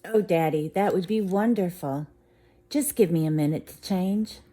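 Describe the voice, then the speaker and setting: A woman doing a gushing, excited young lady. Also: on the low side, normal speed, pitched above the performer's own voice, natural voice.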